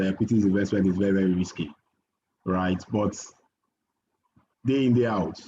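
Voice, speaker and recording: A man giving an investment presentation on a video call, measured and full of fillers and restarts. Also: on the low side, mellow, slight background noise. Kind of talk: webinar presentation. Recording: muffled video call audio.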